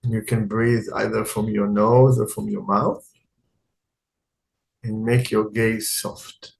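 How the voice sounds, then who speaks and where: A man teaching guided meditation in a calm, unhurried way, speaking in short phrases with long pauses. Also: on the low side, vocal fry, poor audio.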